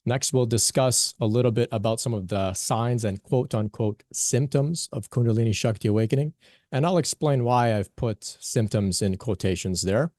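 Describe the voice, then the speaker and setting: A man's voice: mid-range voice, normal speed, a little mellow, dry recording, studio quality. A young man, born in Pakistan and raised in Canada, giving an earnest conference talk as a spiritual teacher, with many fillers and restarts. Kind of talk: conference talk, personal story.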